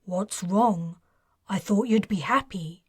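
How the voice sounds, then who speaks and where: Brief: guilt-ridden male major read by a woman, urgent and pleading, unhurried. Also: mid-range voice, darkish tone, breathy edge, no room sound, studio quality. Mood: earnest.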